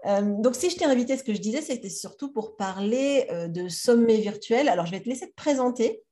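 A woman's voice: on the high side, animated, brisk, dark tone. A woman hosting a podcast, chatting casually and asking questions with many fillers. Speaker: podcast host. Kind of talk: casual interview talk.

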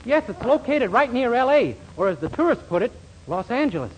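An actor playing a naive, earnest, bumbling young employee in a 1940s radio sitcom. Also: male voice, very high-pitched, sing-song, fairly quick, highs cut off. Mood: put-on grandeur. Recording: noisy 1940s radio recording.

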